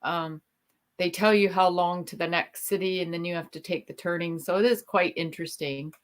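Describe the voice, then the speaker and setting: A woman's voice: on the low side, steady tone, moderate pace. A middle-aged woman hosting a livestream, telling stories in a chatty, rambling way with frequent ums. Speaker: livestream host. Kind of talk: casual chat on a livestream.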